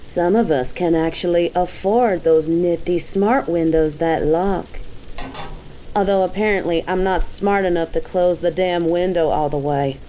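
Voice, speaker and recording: A woman's voice: lowish voice, unhurried, dark tone, a little hiss or hum, close to an everyday voice, poor audio. A woman playing a cocky, dominant bounty hunter in an audio roleplay, with a mocking, taunting, slangy delivery. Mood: smug. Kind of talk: scripted roleplay audio drama.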